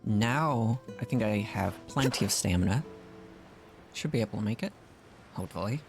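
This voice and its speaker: A man's voice: lowish voice, lively intonation, normal speed, vocal fry, over music. A man doing casual live game commentary, talking to himself and reacting as he plays. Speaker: game streamer.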